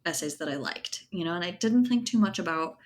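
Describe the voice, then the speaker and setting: A woman's voice: lowish voice, animated, a little fast, slight background noise. A woman giving casual, thoughtful interview answers as a writer, explaining things with plenty of "you know" and "like". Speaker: interview guest, writer and editor.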